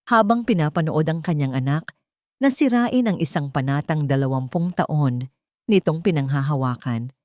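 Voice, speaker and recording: A woman's voice: sits low, mellow, dry recording, clean studio sound. A woman reading a scripted romance story as a dramatic narrator.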